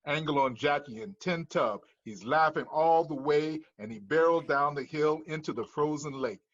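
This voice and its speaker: A man's voice: on the high side, normal speed, muffled recording. A man narrating the stage directions of a screenplay at a table read.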